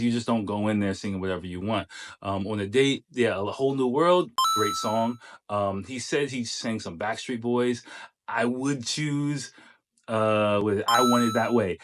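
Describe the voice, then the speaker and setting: A man with a deep bass voice, giving dating tips as host in a chatty, coaching way. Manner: chatty, coaching, many fillers. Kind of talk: casual commentary on a clip.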